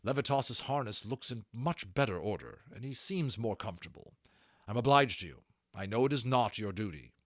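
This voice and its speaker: A man's voice: fairly low pitch, expressive, moderate pace, dry recording, studio quality. A man voicing a courteous, affectionate naval officer in an audiobook, with a formal, gracious, old-fashioned manner. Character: courteous, affectionate naval gentleman turned dragon aviator.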